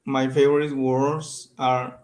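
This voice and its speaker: A man's voice: mid-range voice, little pitch movement, slow, darkish tone. A man from Mexico with a Mexican Spanish accent, a native Spanish speaker talking in hesitant learner English on a video call. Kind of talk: casual video-call lesson chat.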